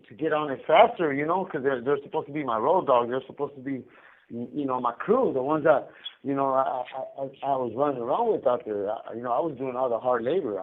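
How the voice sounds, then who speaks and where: Male inmate storyteller, mid-pitched, casual slangy talk with cursing, narrow muffled phone-call sound. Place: jail or prison phone line.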